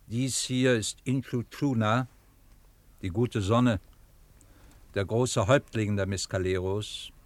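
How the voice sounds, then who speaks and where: An actor playing a wise frontier teacher in a German Western radio drama, speaking earnestly and ceremoniously. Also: male voice, lowish voice, no echo. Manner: ceremonious, measured.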